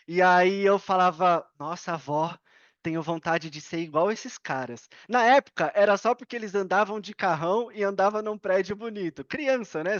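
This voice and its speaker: A man's voice: high voice, dry recording, highs cut off. A Brazilian man in his thirties telling stories casually as an interview guest, with slangy asides and quoted dialogue. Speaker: podcast guest.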